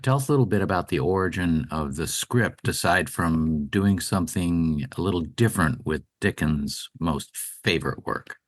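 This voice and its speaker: A man's voice: low-pitched, animated, moderate pace, hardly any pauses. A man co-hosting a radio talk show, delivering scripted announcements and interview questions.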